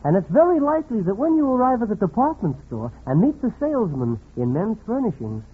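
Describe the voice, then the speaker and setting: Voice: mid-range voice, very animated, a little fast, breathy edge. An actor playing a wry, scolding commentator in 1940s radio comedy, sardonic and admonishing. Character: wry scolding commentator chiding a domineering wife. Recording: muffled 1940s radio recording.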